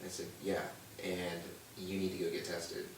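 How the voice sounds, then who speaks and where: A man's voice: sits low, level tone, moderate pace. A man speaking as a guest on a panel chat show, telling his story earnestly and acting out what others said. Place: room with a brunch panel. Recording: echoey room, distant mic.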